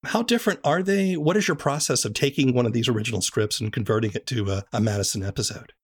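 A man hosting a casual interview, relaxed and affirming, asking about a guest's process. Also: medium pitch, quick pace, husky. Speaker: podcast host, interviewer.

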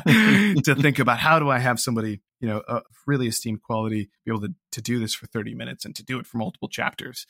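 A man's voice: medium pitch, a bit bright, dry recording, studio quality. A man giving a casual, reflective interview as a creator, talking hesitantly and with self-deprecation. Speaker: interview guest, audio drama creator.

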